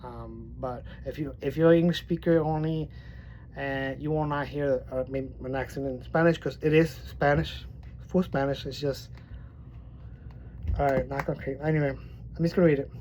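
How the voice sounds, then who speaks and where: A man's voice: mid-range voice, fairly even intonation, moderate pace, a little mellow, slight background noise, rough recording. A man from Miami with a mild speech impediment, chatting casually in a poetry vlog with plenty of restarts and fillers. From Miami, Florida. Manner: rambling, with many restarts and fillers. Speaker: poetry vlogger.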